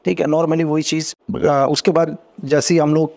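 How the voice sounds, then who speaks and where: A man's voice: a little high, little pitch movement, creaky, a little mellow. A middle-aged man, a former agent and podcast guest, telling his story in a casual interview, earnest and repeating phrases as he explains. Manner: rapid, earnest, repeats phrases, rising energy when explaining.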